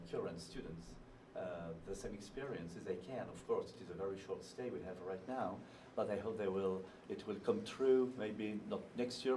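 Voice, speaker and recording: Deep-voiced French man, halting English. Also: distant mic, background noise. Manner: halting, searches for words, many fillers. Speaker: teacher leading an exchange trip. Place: small TV studio with a seated group.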